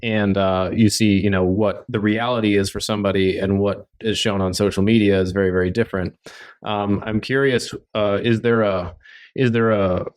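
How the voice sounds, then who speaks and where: A man's voice: on the low side, darkish tone, clean tone. A man hosting an interview, asking curious, probing questions with some hesitations. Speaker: interview host. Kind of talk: casual interview talk.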